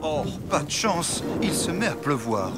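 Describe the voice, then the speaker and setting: Casting: boastful, good-natured elephant dad for kids' cartoon; theatrical, hugely animated pitch, music underneath. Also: male voice, a little slow. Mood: sheepish, dismayed. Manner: theatrical, self-assured. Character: boastful, good-natured elephant father, bumbling family chief. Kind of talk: dubbed children's cartoon acting.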